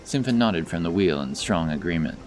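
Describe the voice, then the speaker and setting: Deep-voiced male fantasy narrator. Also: expressive, brisk. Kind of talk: scripted fantasy story narration.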